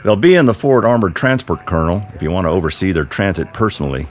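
A man performs a dutiful lieutenant in a radio play. He rattles off crisp military orders very quickly in a low voice. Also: expressive. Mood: crisp, alert. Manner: brisk, crisp military procedure. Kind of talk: audio drama character voice.

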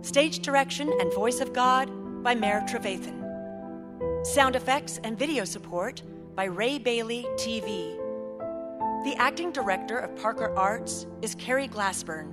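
A woman narrates a radio drama from a script, setting out the action as stage directions. Her voice sits somewhat high, with loud music beneath it. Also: animated, measured pace.

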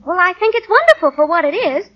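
An actress playing a patient, tactful, devoted wife in a 1940s radio comedy, gently coaxing her husband. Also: extremely high pitch, big pitch swings, fast talker. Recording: muffled vintage 1940 radio recording.

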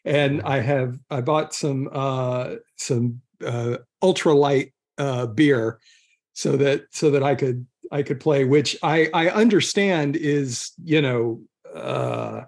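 A man chatting casually as a podcast guest, speaking in a hesitant, halting way. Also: mid-range voice, creaky. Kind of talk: casual chat.

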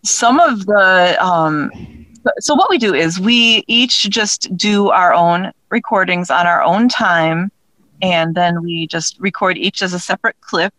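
A woman speaking as an interview guest in casual conversation, thinking aloud in a halting way. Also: fairly low pitch, fairly bright tone, no echo, poor audio. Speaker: guest, audio drama creator.

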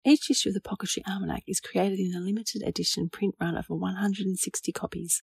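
A woman's voice: medium pitch, steady tone, quick pace, creaky, darkish tone, husky, dry recording, studio quality. A woman hosting a poetry programme, reading scripted narration. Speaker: podcast host.

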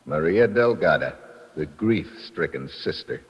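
A terse, hard-boiled American adventurer with a low voice that swings widely in pitch. He is wry and sardonic, in a muffled vintage radio drama. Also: animated, measured pace, husky, exaggerated voice. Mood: wry, guarded. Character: tough, wry American male adventurer investigating crime. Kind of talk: old-time radio drama acting. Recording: muffled old radio recording, long hall reverb.

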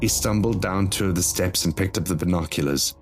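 Low-voiced man narrating a horror story. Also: a little fast, faint music behind.

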